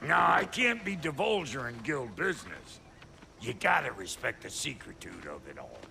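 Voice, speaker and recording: A man playing a crude, cocky dwarf craftsman in a video game, with a gravelly voice and brash, folksy manner. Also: medium pitch, very animated, moderate pace, pushed and bright, a little hiss or hum, exaggerated voice. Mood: cagey, cocky. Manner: brash, colloquial. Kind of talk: animated video game acting.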